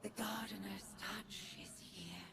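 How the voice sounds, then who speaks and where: A woman voicing a solemn, devout alien wizard in a game, with a breathy, whispery voice and slow ceremonial speech. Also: medium pitch, flat delivery, noisy background. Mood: solemn, cryptic. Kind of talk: video game voice acting.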